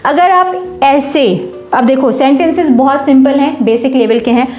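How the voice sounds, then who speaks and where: Woman, English teacher, high voice, asks and answers her own questions, echoey room, faint music. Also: darkish tone. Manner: patient, asks the class questions and answers them herself; says English example sentences slowly, word by word. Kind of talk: whiteboard grammar lesson.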